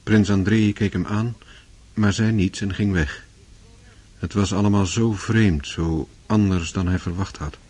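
A man narrating a literary radio drama in a measured storytelling manner. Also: sits low, fairly even intonation, a little mellow, slight background noise. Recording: music under the voice. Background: music.

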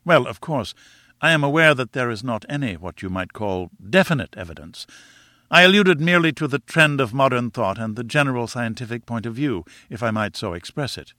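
Audiobook role: man acting nervous journalist, politely fawning and hesitant, bright tone, dry close sound. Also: mid-range voice, expressive, a little fast, no echo. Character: nervous but cheeky male journalist posing as earnest student.

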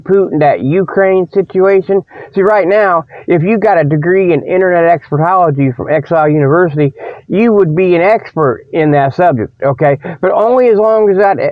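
Folksy rambling comedy host, higher-pitched man. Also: no room sound. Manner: folksy, repeats "you know" and "okay" as tags; chuckles at own jokes. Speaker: host of a comedy radio show. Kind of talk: rambling tongue-in-cheek monologue with mock sales pitches. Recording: dull, dry radio recording.

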